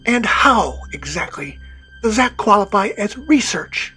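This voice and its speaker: A woman's voice: on the high side, expressive, measured pace, sharp tone, faint music behind. A woman playing an imperious, condescending Prince of Darkness in a scripted comedy podcast skit. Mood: scornful, impatient. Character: haughty, scornful Prince of Darkness, demanding master.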